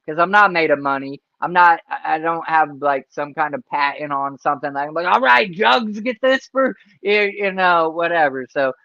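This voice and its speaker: A man's voice: fairly high pitch, lively intonation, moderate pace, creaky, hardly any pauses, bright, forceful tone, no room sound. A man hosting a casual livestream interview, rambling and laid-back with lots of fillers. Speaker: stream host. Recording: dry, dull lo-fi stream audio.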